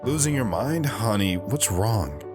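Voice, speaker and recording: A man playing a sorrowful, philosophical lone wanderer in an audio drama, speaking earnestly and deliberately. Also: on the low side, animated, music in the background. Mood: concerned.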